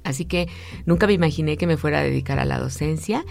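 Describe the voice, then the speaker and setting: A woman's voice: low-pitched, fast, gravelly, a little mellow. A woman in her fifties with a Mexican accent, a university lecturer answering conversationally as an interview guest. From Aguascalientes, Mexico. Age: 50s.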